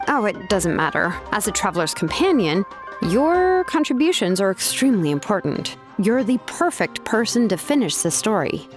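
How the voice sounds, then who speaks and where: A woman's voice: medium pitch, very animated, moderate pace, natural voice. An actress playing a laid-back young female artisan in a video game, speaking in a casual, matter-of-fact and slightly hesitant way. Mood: reassuring. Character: laid-back, businesslike young woman, master name forger. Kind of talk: video game character acting. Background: music.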